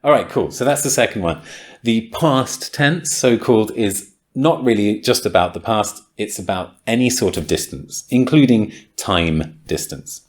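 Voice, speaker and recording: A man with a slightly low voice talks through grammar for a podcast. He thinks aloud, with frequent ums and likes, and sounds keen when an idea clicks. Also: animated, a little slow. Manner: thoughtful, enthusiastic, many ums and likes. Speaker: podcast host and English teacher. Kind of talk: casual explanatory podcast talk.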